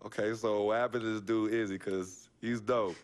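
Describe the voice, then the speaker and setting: A man's voice: lowish voice, normal speed, clean tone, natural voice. A man voicing a laid-back young hoops rookie. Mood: casual. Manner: relaxed, street slang. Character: young male basketball rookie from Harlem, easygoing. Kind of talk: video game cutscene dialogue.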